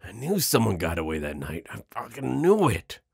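A man playing an amused, flirtatious and menacing werewolf in an intimate roleplay audio drama, gravelly and husky, drawling and teasing. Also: fairly low pitch, wildly swooping pitch, normal speed, no echo, clean studio sound, heavily acted. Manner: drawling, teasing, with breathy chuckles and hesitant asides.

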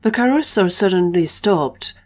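A woman's voice: lowish voice, animated, normal speed, darkish tone. A woman narrating a scripted audio drama story.

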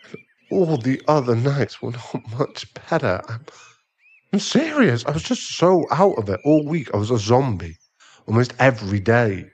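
A man's voice: medium pitch, very animated, moderate pace, a little mellow, slightly rough. A man playing a remorseful sugar-daddy boyfriend in an ASMR audio roleplay, hesitant and stammering as he earnestly pleads for forgiveness. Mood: sheepish, earnest.

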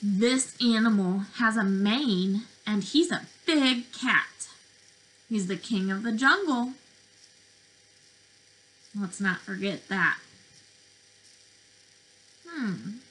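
A woman in her twenties, a speech therapist, reading to preschoolers in an animated, questioning way, recorded on a webcam in a bedroom. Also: mid-range voice, extremely slow, long pauses, poor audio. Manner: animated, questioning delivery for young children. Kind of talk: interactive children's read-aloud lesson. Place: small bedroom indoors.

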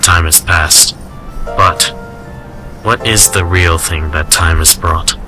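Man acting a deep-voiced melancholy man. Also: steady tone, takes it slowly. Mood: wistful. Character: reserved, melancholy man musing on lost love. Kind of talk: radio drama acting. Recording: music behind the voice.

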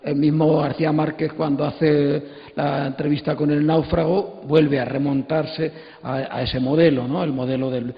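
Man in his sixties, Castilian accent, creaky voice, emphatic informal lecturing, reverberant auditorium, slightly muffled sound. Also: fairly high pitch, flat delivery, moderate pace. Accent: Castilian Spanish. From Spain. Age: 60s. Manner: emphatic, digressive, repeats phrases. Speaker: panelist. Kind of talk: animated informal lecture to an audience. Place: stage of an auditorium. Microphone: handheld microphone. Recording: echoey hall PA, dull sound.

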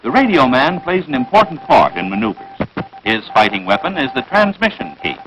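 An American man reading scripted narration for a 1940s military training film in a crisp, instructive manner, on a vintage film soundtrack. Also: mid-range voice, very animated, a little fast, a bit bright, slight background noise, low-quality recording.

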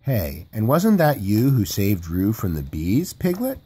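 A man's voice: fairly low pitch, wildly swooping pitch, measured pace, mellow, slightly rough, exaggerated voice. A man voicing a bouncy, brash and friendly tiger in a storybook, with an exuberant manner. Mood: admiring. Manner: exuberant, calling out.

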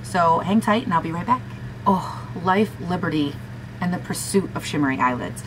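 A young woman with a raspy voice chatting casually through a makeup tutorial at home, gushing and talking to herself as she goes. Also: lowish voice, expressive, normal speed, noisy background. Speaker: beauty vlogger. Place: small room at home, indoors.

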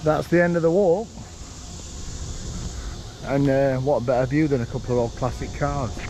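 A young man giving a relaxed, chatty walking tour outdoors, pointing things out as he goes, recorded on a handheld camera. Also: mid-range voice, normal speed, background noise. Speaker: vlogger. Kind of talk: casual walking tour commentary. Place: outdoors walking around a lakeside town.

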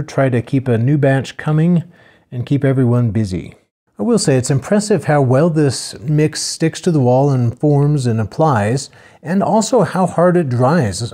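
An older man calmly narrating his own building project in a plain, matter-of-fact voice-over. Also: mid-range voice. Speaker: homesteader narrating a build video.

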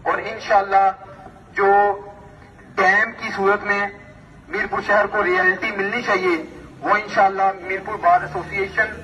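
A distant, reverberant loudspeaker carries a Pakistani man's formal announcement across the open air. He declaims phrase by phrase in a sharp, forceful voice, slightly muffled by the speaker system. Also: high voice, measured pace. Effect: public-address loudspeaker. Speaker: mosque announcer. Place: heard from a rooftop outdoors. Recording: distant echoing outdoor loudspeaker, muffled, noisy.

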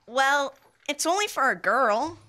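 A teenage boy playing a bright, high-voiced cheeky little brother. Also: lively intonation, unhurried, full character voice. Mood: cheeky, innocent. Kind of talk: old-time radio comedy acting. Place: school library, before a small live cast. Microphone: standing stage microphone.

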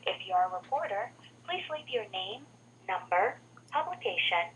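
A woman's voice: mid-range voice, animated, moderate pace, fairly bright tone. A woman reading a scripted automated announcement, heard over a telephone line. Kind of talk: scripted recorded phone announcement. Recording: dull phone audio with faint noise.

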